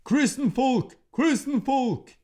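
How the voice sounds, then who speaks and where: A man voicing a fierce old man-eating troll with loud cries in a folk tale. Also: very high voice, lively intonation, takes it slowly, pitched above the performer's own voice, full character voice. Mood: terrified.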